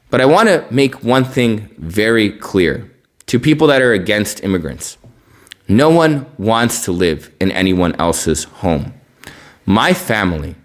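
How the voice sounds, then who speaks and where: A man gives an opinionated news report, explaining emphatically and rephrasing his points. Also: lowish voice. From born in Bosnia, partly raised in the United States. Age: 20s. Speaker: news podcast host.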